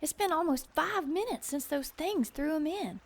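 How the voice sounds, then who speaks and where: An actress playing a plucky, anxious, determined woman, narrating an audio drama in an urgent, expressive way. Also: high voice, moderate pace. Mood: worried.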